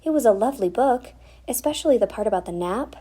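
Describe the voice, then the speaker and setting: Young storybook mother, read by a woman: kindly, eager, pitched well up. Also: lively intonation, fast, dark tone. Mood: apologetic.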